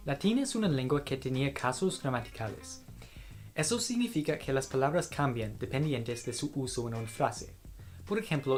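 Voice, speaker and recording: A man whose first language is English, narrating a scripted explainer on learning languages in a clear, explanatory way. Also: mid-range voice. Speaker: educational video host.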